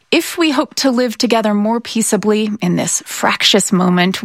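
A woman from Utah hosting a podcast, narrating in a clear, measured way and interviewing guests. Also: medium pitch, dry recording, clean studio sound. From Utah, USA. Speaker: podcast host. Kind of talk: scripted radio narration and interviewing.

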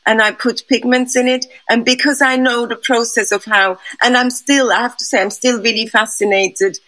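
A woman's voice: fairly high pitch, steady tone, moderate pace, bright, forceful tone, low-quality recording. Hesitant raised woman sculptor. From Switzerland. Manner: hesitant, many restarts and fillers, thoughtful. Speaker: sculptor, interview guest. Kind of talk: conversational interview answers.

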